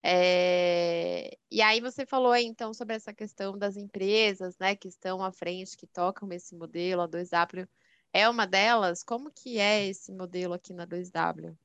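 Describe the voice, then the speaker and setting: Smooth-voiced woman hosting a podcast. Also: mid-range voice, fairly even intonation, normal speed, fairly bright tone, no echo. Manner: friendly, curious questioner with "né?" tags. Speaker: podcast host. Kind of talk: podcast interview.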